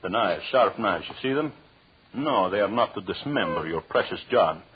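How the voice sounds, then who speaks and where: Vengeful, gloating husband, swooping voice. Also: male voice, fairly low pitch, lively intonation, normal speed, slightly rough. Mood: gloating, sinister. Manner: theatrical, menacing, mocking, formal phrasing. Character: vengeful, jealous husband, cruelly gloating murderous anthropologist. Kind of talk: 1940s radio drama acting. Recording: dull, lo-fi old radio recording.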